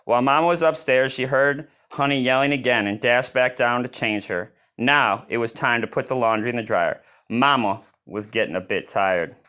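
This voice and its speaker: A man reads a children's storybook aloud in an expressive manner, adding his own exclaiming asides. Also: mid-range voice, moderate pace, hardly any pauses, smooth. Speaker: storybook reader.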